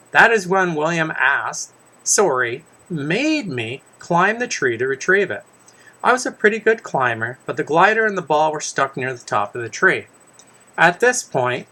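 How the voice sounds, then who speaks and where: A man's voice: on the high side, bright, forceful tone. A man reads his own children's story aloud in the first person, conversationally, with casual asides and small self-corrections. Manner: conversational, stumbles and corrects himself.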